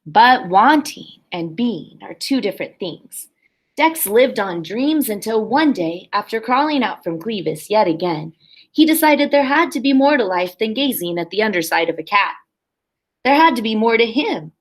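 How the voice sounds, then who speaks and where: A woman's voice: a little high, big pitch swings, normal speed. A woman kindergarten teacher reading a picture book aloud expressively for young children, with simple explanatory asides.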